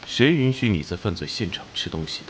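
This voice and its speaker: An actor playing a hot-tempered, commanding police detective captain in an animated crime drama, brusque and scornful. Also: male voice, low voice, fairly quick, background noise. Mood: scornful, irritated.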